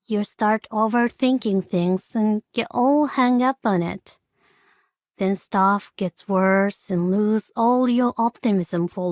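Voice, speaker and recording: Slow, slangy young woman. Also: fairly high pitch, dry recording, studio quality. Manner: slangy, laid-back. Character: casual, easygoing young woman, quietly philosophical. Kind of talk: video game character voice line.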